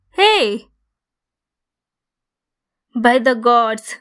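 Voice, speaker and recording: A woman playing a possessive centaur captor, bossy yet caring, in an ASMR roleplay monologue with a deliberate, teasing delivery. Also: fairly high pitch. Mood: softened, wry.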